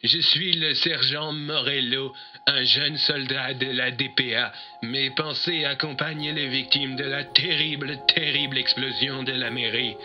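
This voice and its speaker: A man's voice: medium pitch, steady tone, unhurried, muffled recording, over music, lightly acted. A man playing an overly earnest young soldier giving testimony as a caller in an audio drama, with a solemn, recited delivery.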